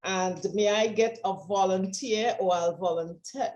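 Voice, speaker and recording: A woman's voice: medium pitch, measured pace, darkish tone, reverberant. A woman with a Trinidadian accent, a communication trainer giving encouraging, instructive coaching in an online workshop over a video call. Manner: encouraging, instructive, stretches words.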